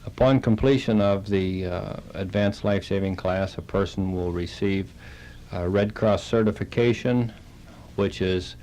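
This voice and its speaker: A man, a halting and plain-spoken interview guest, explaining things on the radio. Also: sits low, a little mellow. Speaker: interview guest, Red Cross chapter director.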